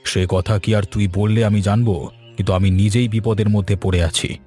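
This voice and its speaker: Lowish, put-upon village gentleman, played by a man. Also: fairly even intonation, moderate pace, slightly rough, faint music behind. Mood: worried. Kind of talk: cartoon story dialogue.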